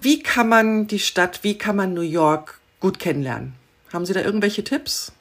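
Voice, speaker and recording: A woman's voice: mid-range voice, normal speed. A woman from Hamburg hosting an interview, asking short questions in an upbeat way. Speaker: interview host.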